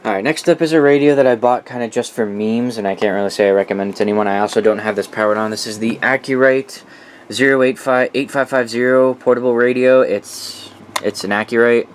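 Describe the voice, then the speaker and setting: A man's voice: mid-range voice, brisk, a little hiss or hum. A man presenting a collection in a casual, unscripted way, informal and opinionated, swearing freely. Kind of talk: casual unscripted product walkthrough.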